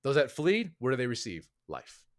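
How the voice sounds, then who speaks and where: A man's voice: medium pitch, huge pitch swings, normal speed. A man as a podcast guest, explaining his views in a hedging, thoughtful way with many fillers. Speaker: author and guest. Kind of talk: casual interview talk.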